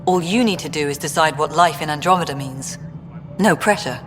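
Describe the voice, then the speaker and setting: A man's voice: on the high side, a little fast, lightly acted. A man playing a brusque, harried space colony director in a video game, with a dry, officious manner. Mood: dry, persuasive. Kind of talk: video game dialogue acting. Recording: reverberant hall with music behind.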